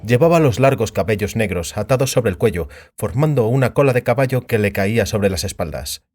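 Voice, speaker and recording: A man's voice: fairly low pitch, darkish tone. A man narrating fantasy fiction as a dramatic, scripted audiobook. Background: background music.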